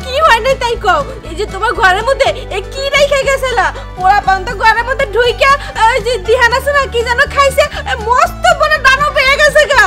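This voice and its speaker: A woman's voice: very high-pitched, brisk, hardly any pauses. A woman with a Bangladeshi accent playing a distraught, disbelieving village mother in a fairy-tale cartoon, with emphatic, repeated questions. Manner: emphatic, repetitive, full of incredulous questions. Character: distraught village mother, disbelieving, fretting over her son. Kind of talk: melodramatic children's fairy-tale cartoon acting. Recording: loud music behind the voice.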